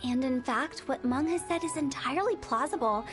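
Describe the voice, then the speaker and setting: A woman voicing a self-assured young female exorcist in a video game. Her voice is high, her delivery brisk, breezy and theatrical, with music clearly playing behind her. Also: lightly acted. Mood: explanatory, assured.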